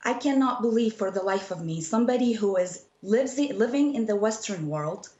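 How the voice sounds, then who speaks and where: A woman's voice: medium pitch, animated, normal speed, a little mellow. Emphatic woman activist in a roomy, dull recording. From Syria. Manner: emphatic, insistent, repeats phrases. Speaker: activist and debate guest. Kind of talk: impassioned political debate on a TV show.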